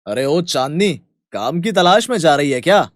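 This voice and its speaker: Man acting a loud, high-pitched rich farmer. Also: animated, normal speed, dry recording, studio quality, close to an everyday voice. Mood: brisk. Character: rich village farmer, brisk, bossy employer. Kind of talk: acted dialogue in a children's moral story.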